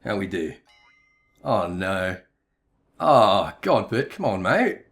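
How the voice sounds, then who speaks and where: A man's voice: sits low, sing-song, slow, a bit bright. An amused man giving casual, chatty commentary on a video game. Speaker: gameplay commentator and dialogue reader. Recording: music behind the voice.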